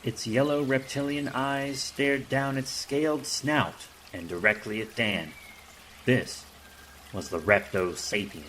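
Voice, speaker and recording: A man's voice: medium pitch, slow pace, a bit bright, a little hiss or hum, poor audio. A man narrating an action audio drama in a dramatic, scripted storytelling style.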